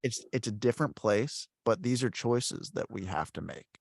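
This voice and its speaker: A man's voice: mid-range voice, moderate pace, vocal fry, no room sound. Rambling man telling stories, dry sound. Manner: rambling, animated storytelling with many fillers. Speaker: podcast host. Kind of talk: casual chat.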